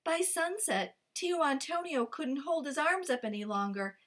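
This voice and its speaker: A woman librarian reading a picture book aloud to children, with lively, expressive storytelling. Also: sits high, measured pace.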